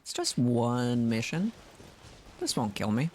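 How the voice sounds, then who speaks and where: A man's voice: mid-range voice, very animated, slow pace, darkish tone, a little hiss or hum. A man doing casual live game commentary, talking to himself and reacting as he plays. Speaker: game streamer.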